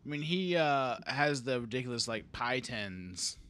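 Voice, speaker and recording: Regular guy voice, medium pitch, podcast guest just chatting. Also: expressive, unhurried, a bit bright, clean tone. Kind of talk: casual chat.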